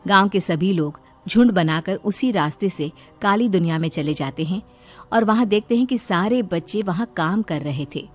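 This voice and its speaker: A woman's voice: on the low side, expressive, normal speed. Woman narrating a cartoon story over music.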